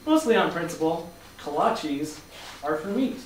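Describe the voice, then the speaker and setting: A man's voice: on the high side, sing-song, measured pace. A man acting in a staged play reading as a skeptical, grumbling customer with a dry, wry delivery. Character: skeptical, dryly grumbling male customer, a bakery regular. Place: live staged reading in a room. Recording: roomy, distant mic, faint noise.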